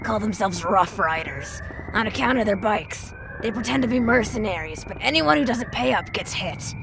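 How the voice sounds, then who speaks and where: A woman's voice: mid-range voice, animated, normal speed, vocal fry, background noise. A woman playing a tough, blunt, protective wasteland survivor in an audio drama, with a wry, plain-spoken manner. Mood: tense, explaining. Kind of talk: post-apocalyptic audio drama acting.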